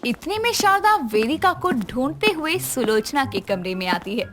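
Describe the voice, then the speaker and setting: Lady story narrator, highish voice that swings up and down, takes it slow, music underneath. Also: very animated, a bit bright.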